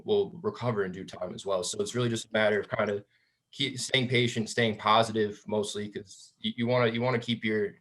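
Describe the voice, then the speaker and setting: A man's voice: lowish voice, a bit bright. A man answering on a webinar panel as an alumnus, giving upbeat advice and often restarting his words.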